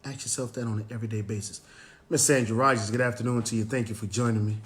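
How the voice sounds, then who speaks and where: A man hosting a motivational Facebook Live show, hoarse and raspy from cheering, greeting viewers by name, with plenty of "um" and "you know". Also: on the low side, little pitch movement, quick pace. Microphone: live stream, phone or webcam.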